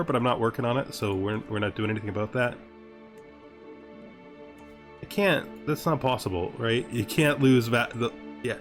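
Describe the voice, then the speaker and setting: A man giving thinking-aloud gameplay commentary, deliberating, repeating himself and often checking with a questioning tag. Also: mid-range voice. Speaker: gaming host playing a strategy game. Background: music.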